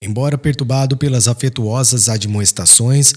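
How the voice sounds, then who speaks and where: Husky, solemn male audiobook narrator. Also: mid-range voice, moderate pace, darkish tone. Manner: solemn, emotive, formal. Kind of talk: dramatic scripted literary narration.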